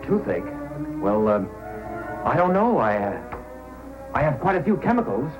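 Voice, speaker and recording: An actor playing a fussy, excitable frontier photographer with a halting manner in an old-time radio drama. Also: male voice, mid-range voice, poor audio. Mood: hesitant. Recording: old radio recording, faint music.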